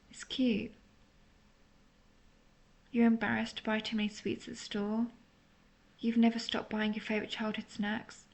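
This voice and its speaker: A woman voicing a tender, melancholy centuries-old vampire lover in an intimate audio roleplay, speaking softly and confessionally, at times nearly whispering. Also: a little high, creaky. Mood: fond, teasing. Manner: soft, confessional, partly whispered.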